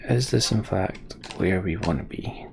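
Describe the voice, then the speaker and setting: A man with a fairly low voice and a lot of creak, commentating casually as he plays. He rambles and thinks out loud, with some music behind him. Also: a little slow. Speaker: game streamer. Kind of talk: casual live gameplay commentary.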